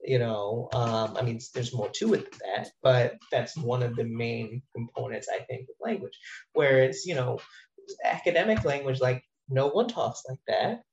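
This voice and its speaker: A man's voice: medium pitch, moderate pace, a little hiss or hum. A man in casual conversation, thinking aloud in a rambling way with many fillers and restarts. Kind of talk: casual discussion of language teaching. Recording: roomy, dull-sounding audio.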